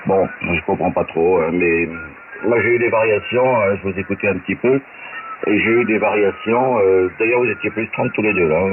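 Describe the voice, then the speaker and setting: Low-voiced man on static-filled shortwave radio. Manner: hesitant, thinking aloud. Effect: amateur shortwave radio transmission. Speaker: amateur radio operator. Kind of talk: casual technical radio chat. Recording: very noisy narrow-band shortwave radio. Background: loud radio static.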